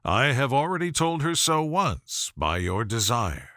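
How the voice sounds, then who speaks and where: Man playing a reserved, formal proud gentleman. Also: medium pitch, very animated, dry recording, studio quality. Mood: cool, curt. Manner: measured, formal, cool. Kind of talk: audiobook character voice.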